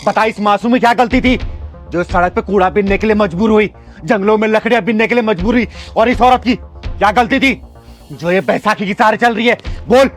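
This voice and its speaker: A fierce, protective kinnar elder sister played by a man in a dramatic short film. The voice sits fairly high, and the talk is blunt, preachy and threatening, with faint music behind it. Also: brisk, natural voice. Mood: angry interrogation.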